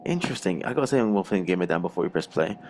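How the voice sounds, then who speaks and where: A man with a rough, strained, unwell voice chatting casually and slangily as co-host of a gaming show. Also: sits low, animated, racing, a little mellow, a little hiss or hum. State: rough, strained voice from a throat infection. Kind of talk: casual chat.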